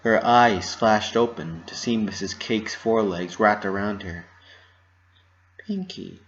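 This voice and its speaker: A man's voice: lowish voice, measured pace. A man narrating a story as a scripted dramatic reading. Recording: slightly muffled lo-fi recording.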